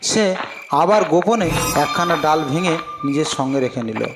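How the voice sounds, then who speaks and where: A man's voice: a little high, animated, moderate pace, very noisy. A man narrating a scripted fairy tale as a storyteller. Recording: long reverb, music behind. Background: clear music.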